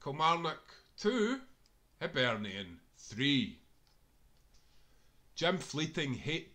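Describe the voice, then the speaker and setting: A man's voice: mid-range voice, bright, forceful tone. A man reading scripted narration from a football book, with an emphatic, dramatic storytelling delivery. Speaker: author-reader of a football book.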